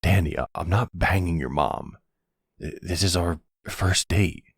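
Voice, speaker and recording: Man acting as suave middle-aged mob boss, very deep, gravelly, intimate, flirtatious, ASMR roleplay. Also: measured pace, no echo, clean studio sound, full character voice. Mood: flustered.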